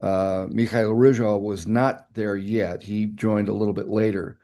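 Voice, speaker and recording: A man's voice: fairly low pitch, steady tone, measured pace, dark tone. An older man telling stories in an oral history interview, reflective with emphatic asides. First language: English. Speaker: interviewee, nuclear fuel executive.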